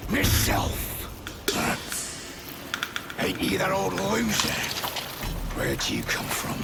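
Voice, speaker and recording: Casting: coarse taunting demon brute, wildly swinging pitch, unhurried delivery, game cutscene over loud music. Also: male voice, wildly swooping pitch, creaky, heavy background noise, heavily acted. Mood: mocking. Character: crude boastful male demon brute. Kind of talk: video game cutscene acting. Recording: loud score under the voice.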